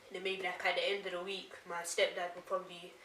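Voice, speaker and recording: A woman's voice: on the low side, flat delivery, fast, a bit bright, far from the mic. A woman chatting casually as an interview guest, using plain, informal words. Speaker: guest, author. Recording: echoey room, off mic, faint hiss.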